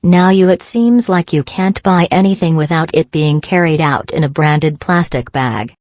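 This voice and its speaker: A woman's voice: low voice, moderate pace, a little mellow, no room sound, studio quality. A woman reading practice passages aloud in a scripted style for exam preparation.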